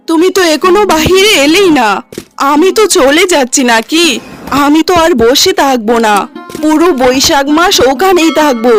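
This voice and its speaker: A woman as a high-voiced dutiful young husband. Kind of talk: cartoon story acting.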